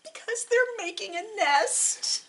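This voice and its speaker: A woman voicing an excitable young pig in a picture-book story, exclaiming in a drawn-out singsong. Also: extremely high pitch, pitched above the performer's own voice, full character voice. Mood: gleeful. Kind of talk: animated children's read-aloud.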